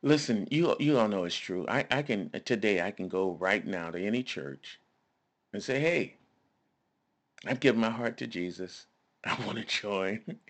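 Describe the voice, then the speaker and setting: A man's voice: on the low side, lively intonation, a little slow. A man preaching a sermon in a conversational, emphatic way, repeating phrases and calling on listeners to respond.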